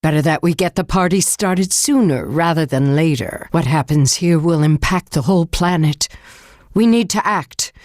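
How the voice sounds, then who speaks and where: An actress playing a tough, resolute government leader in a dramatic video game, speaking in a blunt, commanding way. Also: female voice, low voice, normal speed, a little mellow, dry recording, clean studio sound, close to an everyday voice. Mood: grave, determined. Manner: blunt, commanding, hard-nosed. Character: tough, resolute female government leader fighting a giant monster.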